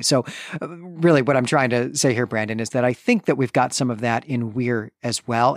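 A man's voice: medium pitch, no room sound, studio quality. A man hosting a conversational book discussion, explaining his reasoning at length in an expository way. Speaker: podcast host. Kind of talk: conversational literary recap and discussion.

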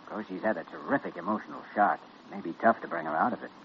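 An actor playing a calm, reassuring hospital doctor who questions gently, in old-time radio drama style. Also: male voice, fairly low pitch, very fast, gravelly, heavily acted. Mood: serious. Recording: dull, noisy vintage radio recording.

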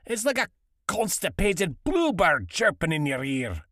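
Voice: mid-range voice, very animated, measured pace, a bit bright, no echo, studio quality. An actor voicing a mocking dwarf miner who delivers theatrical, scornful insults. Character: gruff, mocking dwarf miner bullying a beardless companion.

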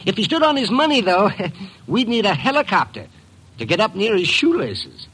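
An actor playing a brash, mocking office worker in a 1950s radio drama. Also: male voice, high voice, big pitch swings, normal speed.

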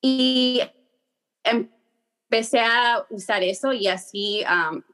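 A young woman, answering interview questions in a halting way many fillers and restarts, slipping words in. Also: on the high side, fairly bright tone, faint music behind. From Peru. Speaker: guest, journalist.

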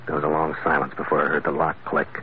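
An actor playing a tough, wry sea captain sleuth in a classic radio detective drama, clipped and sardonic. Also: male voice, very deep voice, monotone, fast. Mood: tense, hushed narration. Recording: noisy vintage radio broadcast, muffled.

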